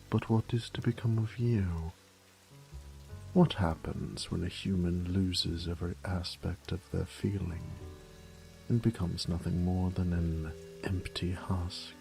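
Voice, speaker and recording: Vampire lord, horror audio drama: cruel, gloating, very slow and gravelly, theatrical, drifting into whispers. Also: male voice, low voice, darkish tone, full character voice. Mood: taunting. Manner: slow, theatrical, menacing, lapsing into breathy whispers. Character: cruel, gloating male vampire lord savoring his human prey. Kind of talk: scripted horror audio roleplay.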